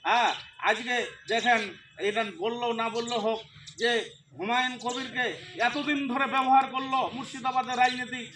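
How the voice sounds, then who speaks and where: A man's voice: very high voice, sharp tone. A middle-aged man giving an impassioned, argumentative political talk as a local activist, emphatic and full of rhetorical questions. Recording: distant mic, faint ambient noise.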